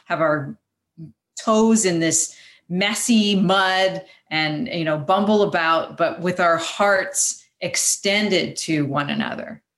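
A woman's voice: on the low side, takes it slowly, a bit bright. A woman in a casual, reflective podcast discussion, speaking in an earnest, encouraging way. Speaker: podcast panelist.

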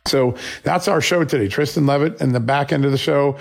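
A man's voice: medium pitch, brisk, creaky. A man hosting a political news commentary show, sounding slightly scratchy from a flu and making his points emphatically with short punchy questions.

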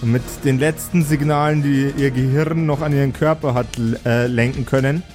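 A man's voice: medium pitch, steady tone, normal speed, a little mellow, faint music behind. A man acting as game master, narrating an improvised tabletop role-playing game with dramatic storytelling between casual rules talk.

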